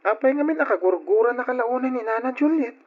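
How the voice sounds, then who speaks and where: A man playing a kindly, protective old grandfather, exclamatory and drawn-out, in old radio drama style. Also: very high-pitched, expressive. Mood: indignant. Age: older. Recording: dull lo-fi old radio recording.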